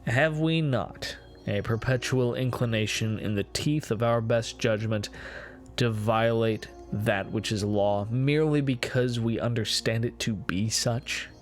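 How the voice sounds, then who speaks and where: A man voicing a remorseful, perverse man confessing dark crimes in a solemn, theatrical reading of a gothic horror story. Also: mid-range voice, soft background music, lightly acted. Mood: brooding, intense. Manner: solemn, deliberate, theatrical, formal.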